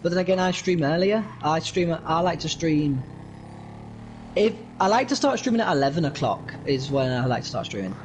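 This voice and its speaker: A British man in casual stream chat with a slightly high voice. He stumbles and restarts his sentences in an easygoing way. Faint game sound plays under him. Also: normal speed, a little hiss or hum. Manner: relaxed, stammering restarts. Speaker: co-streamer guest.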